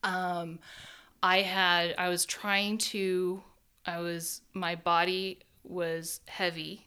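A woman in her twenties with a deeper voice than most women, talking candidly as a guest in a casual conversation and laughing often mid-sentence. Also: level tone, very slow, pushed and bright, clear, resonant tone. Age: 20s.

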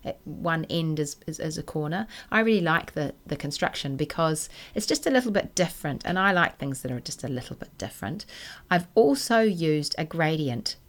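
A woman from New hosting a knitting podcast, chatting casually and enthusiastically, her voice still a little croaky from a cold. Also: deep voice, expressive, moderate pace. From New Zealand. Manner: chatty and enthusiastic, self-deprecating. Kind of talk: casual solo podcast chat.

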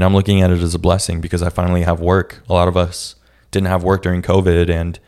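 A young man hosting his own podcast, talking in a casual, reflective way with a raspy voice and many restarts. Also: deep voice, darkish tone. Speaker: podcast host. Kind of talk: casual solo podcast talk.

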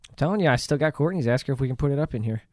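A man's voice: medium pitch, expressive, rapid-fire, darkish tone, dry recording. Laid-back slangy man, close dry sound. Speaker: podcast co-host. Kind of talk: casual chat. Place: small podcast studio.

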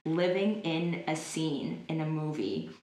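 A woman in her twenties speaks at the low end of women's pitch, chatting casually and filling her sentences with "like" and "um". The room around her gives a noticeable echo, as in an interview recorded in an open space. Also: lively intonation, slow, darkish tone, slight background noise. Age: 20s. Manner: chatty, lots of likes and ums. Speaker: interview guest. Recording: roomy echo.

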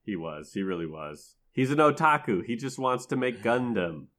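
A man with a medium-pitched voice chats as a podcast co-host. His delivery is casual and slangy, recapping stories in a loose, joking way. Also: lively intonation, normal speed, fairly bright tone. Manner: jokey, slangy retelling. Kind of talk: casual chat recapping a video game story.

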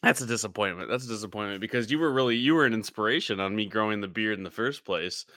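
A man's voice: fairly low pitch, fast talker, sharp tone, no echo, studio quality. A man hosting a podcast in casual chat, joking and teasing his guest and laughing often. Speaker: podcast host.

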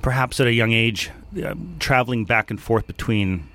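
A man from Denmark, a guest in an interview, answering thoughtfully with many pauses and fillers. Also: mid-range voice, pushed and bright.

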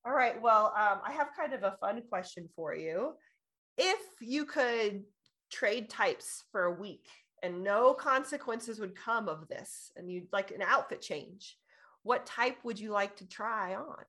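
A woman hosts a relaxed interview with a bright, forward voice. She keeps things encouraging, nodding along with affirmations and easy laughter. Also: mid-range voice, measured pace. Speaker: podcast host. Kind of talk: casual podcast interview.

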